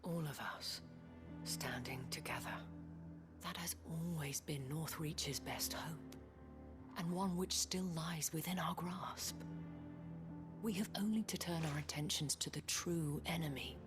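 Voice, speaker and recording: A woman's voice: sits low, a little slow, music in the background. An actress playing a dignified, principled noblewoman in a fantasy game, with poised, formal and eloquent speech. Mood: renewed resolve. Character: dignified noblewoman leading a town, wistful, principled. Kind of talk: scripted game dialogue.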